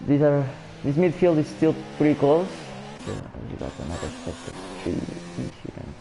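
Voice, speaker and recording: A man's voice: a little high, big pitch swings, normal speed, gravelly, background noise. A man from Portugal with a Portuguese accent, casually commentating a live online race and chatting with viewers. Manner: non-native English, loose and chatty, talks to viewers. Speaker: sim racing commentator. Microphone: home streaming mic.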